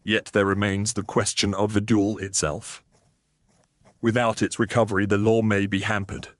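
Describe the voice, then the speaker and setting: A man voicing a grave, dutiful Victorian police inspector with a formal, deliberate, official manner. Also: on the low side, flat delivery, no room sound, natural voice. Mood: official, firm.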